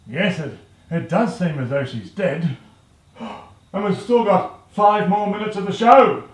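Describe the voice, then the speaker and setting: A man acting a hammy radio announcer in a comic radio drama, pompous, halting and flustered. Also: high voice, roomy sound.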